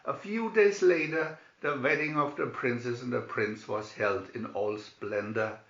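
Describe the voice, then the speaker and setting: A man reading a fairy tale aloud as a scripted narration, in a deliberate manner. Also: mid-range voice, creaky, fairly bright tone. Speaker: storybook reader. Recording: dull, roomy amateur recording.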